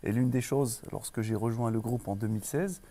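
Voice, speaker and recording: Un homme d'âge moyen, originaire du Maroc, directeur d'école en entretien, parle de façon posée avec beaucoup d'hésitations. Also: male voice, mid-range voice, warm tone. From Morocco. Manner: measured, many pauses and restarts. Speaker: school director. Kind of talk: interview.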